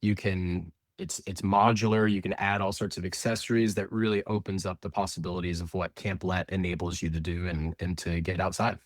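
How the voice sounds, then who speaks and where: A man gives interview answers in a lowish voice. He explains things at length and often restarts his sentences. The sound is dry and close. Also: little pitch movement, brisk, hardly any pauses, dry recording. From Indianapolis, USA. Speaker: company representative.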